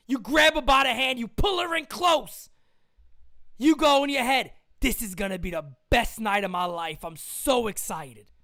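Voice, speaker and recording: A man hosting a live-stream sports show, talking casually and excitably, muttering one moment and yelling the next, his voice strained. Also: very high voice.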